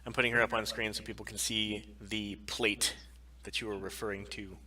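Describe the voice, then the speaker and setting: A man's voice: on the low side, flat delivery, a little fast, sharp tone. A man co-hosting a stream, chatting in casual banter. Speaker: podcast and stream co-host.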